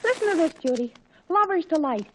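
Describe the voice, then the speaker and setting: A woman's voice: very high voice, animated, brisk, fairly bright tone, slight background noise. An actress playing a gushing, easily impressed teenage girl in a 1940s radio comedy. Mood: dithering. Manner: gushing, breathless exclamations. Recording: dull, muffled 1940s radio recording.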